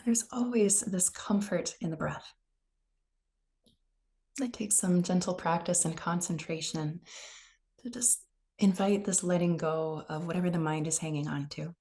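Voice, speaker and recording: A woman leading a guided meditation in a gentle, unhurried, soothing manner. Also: fairly low pitch. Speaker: meditation teacher.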